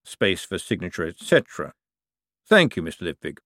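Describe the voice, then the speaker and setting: A man voicing a cool, calculating older ruler who speaks dry, precise and deliberate in an audiobook. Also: low voice, sing-song, a bit bright, no room sound, full character voice. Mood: dry, knowing.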